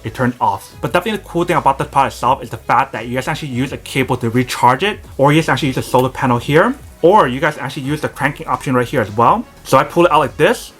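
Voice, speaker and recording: Man, mid-pitched, rattles through a hands-on gadget review at a rapid clip, casual, repetitive filler phrasing. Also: a bit bright, faint music behind. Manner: rapid, repetitive filler phrasing, addresses viewers as "you guys". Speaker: product reviewer. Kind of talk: casual product demo narration.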